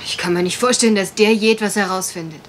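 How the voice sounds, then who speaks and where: A woman's voice: medium pitch, fast talker, a little hiss or hum, lightly acted. A woman acting a genteel, worried young country heiress in a German radio mystery play, polite, well-spoken and a little hesitant. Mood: hesitant, discreet.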